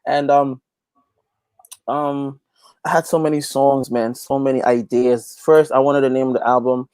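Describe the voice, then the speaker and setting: A man from Guyana giving a casual interview as a guest, informal and halting, repeating phrases as he thinks. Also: medium pitch, level tone, a little mellow, clear, resonant tone, dry recording. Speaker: interview guest, gospel reggae artist and youth pastor.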